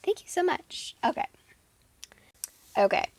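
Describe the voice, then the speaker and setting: A teenage girl vlogging in a casual, chatty way, giggling and saying "like" a lot, her voice going a bit hoarse. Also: measured pace. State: losing her voice. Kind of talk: casual chat to camera.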